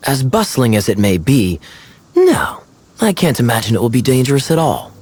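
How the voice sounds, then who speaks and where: A man voicing a suave, self-assured young knight captain in a video game, smooth-talking, composed and teasing. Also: medium pitch, sing-song, normal speed, darkish tone, a little hiss or hum, close to an everyday voice. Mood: wry, confident. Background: music.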